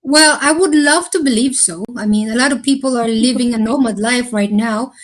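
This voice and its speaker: A woman answering interview questions in a thoughtful, earnest way, with slow, deliberate phrasing. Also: on the high side. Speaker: interview guest.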